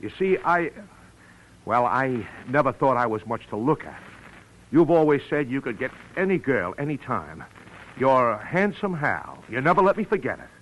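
A quietly vengeful, wronged man in a 1940s radio play. His delivery is deliberate and bitter, with halts and wide swings of pitch, over a faintly noisy old recording. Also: sing-song. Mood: bitter, triumphant. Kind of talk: 1940s radio drama acting.

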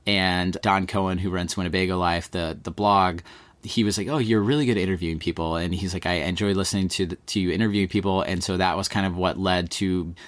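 A man from East Texas with an East Texas accent, talking in a relaxed, rambling way as a podcast host being interviewed. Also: sits low, fairly quick, no room sound. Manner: relaxed, rambling, many fillers like "like". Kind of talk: casual conversational interview.

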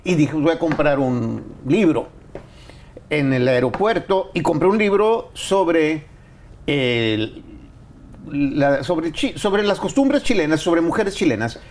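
Relaxed Mexican man hosting. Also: a little high, a little hiss or hum. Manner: relaxed, rambling, many fillers. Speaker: interview host. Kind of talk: casual conversational interview.

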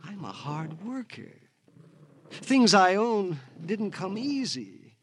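An actor playing an edgy, possessive, self-justifying husband in an old radio crime drama, flustered and evasive. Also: male voice, high-pitched, sing-song, takes it slowly, heavily acted. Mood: possessive, bitter. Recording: noisy old radio broadcast recording.

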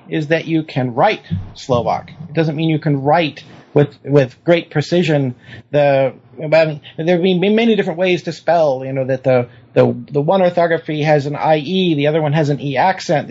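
A man with a slightly high voice explains history in a long interview answer. He lectures and thinks aloud, often restarting phrases. The recording sounds dull and lo-fi. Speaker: historian guest.

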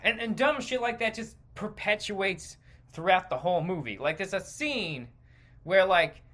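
An American man with a bright, forceful voice delivers a comedic podcast rant. His pitch swings widely as he repeats himself for emphasis. He sounds exasperated and swears freely. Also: animated, unhurried. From United States. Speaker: podcast host. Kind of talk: stream-of-consciousness comedic rant.

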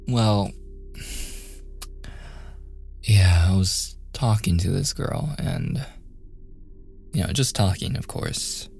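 A young man acting a playful, teasing younger brother in a soft, intimate boyfriend roleplay with casual, hesitant phrasing. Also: on the low side, creaky. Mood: sheepish, defensive.

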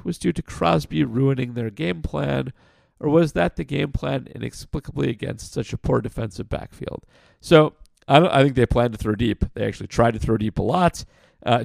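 Gravelly-voiced man hosting a podcast. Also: mid-range voice, dry recording. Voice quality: gravelly, like sandpaper. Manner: blunt, frank opinions with many fillers. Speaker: podcast host. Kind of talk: casual solo podcast answering listener questions.

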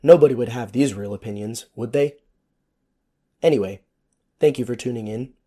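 Hoarse guy rambling sarcastic on his podcast, dark mellow voice, swears a lot. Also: mid-range voice, normal speed. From United States. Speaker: podcast host. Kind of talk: casual solo podcast monologue.

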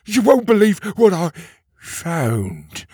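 A man voicing an excitable male platypus sidekick in a storybook reading, yelping and flustered. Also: mid-range voice, takes it slowly, husky, no room sound, studio quality, voice pushed up, heavily acted. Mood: excited.

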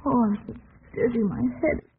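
A woman's voice: a little high, brisk, vocal fry, recorded from a distance. A woman playing a detective's anxious, shaken young companion in an old radio mystery, dramatic, on a tinny, noisy recording. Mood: dazed, distressed. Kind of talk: vintage radio mystery acting.

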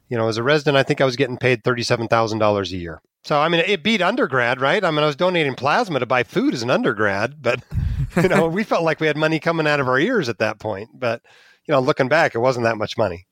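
A man's voice: medium pitch, fairly bright tone, dry recording. Candid self-deprecating man, dry sound. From Alaska. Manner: candid, self-deprecating, chuckles while talking. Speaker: podcast guest, physician blogger. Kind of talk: casual interview storytelling.

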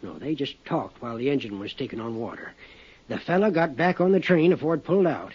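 A man's voice: medium pitch, expressive, fast, husky. An actor playing a chatty, folksy older railway mail man with a drawl in a 1950s radio drama. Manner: rambling, folksy, drawling country talk. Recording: dull vintage 1950s radio recording.

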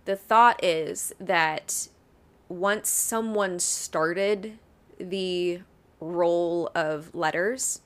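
A woman's voice: lowish voice, extremely slow, a bit bright. Chatty woman telling a true crime case. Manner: chatty, laughs often. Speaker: podcast co-host. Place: Ohio.

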